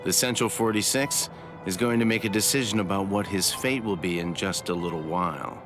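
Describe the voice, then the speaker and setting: An actor playing a calm, knowing man in an anime game, explaining things in a measured way. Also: low voice, natural voice. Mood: calm, reassuring. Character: calm, knowing adult man, reassuring and matter-of-fact. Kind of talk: anime game cutscene acting. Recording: loud music behind.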